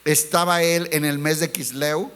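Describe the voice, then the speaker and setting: A man preaching a sermon as a pastor. He asks the congregation rhetorical questions, calls them brothers, and slows down to stress key words. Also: a little high, fairly even intonation, fairly bright tone, clean tone.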